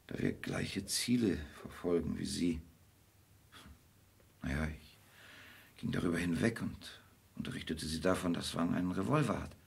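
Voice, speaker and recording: An actor playing a brooding, detached gentleman in a radio drama, speaking reflectively and often hushed, with sudden urgency. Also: sits low, gravelly, husky, exaggerated voice. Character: brooding, detached gentleman in love with an endangered woman.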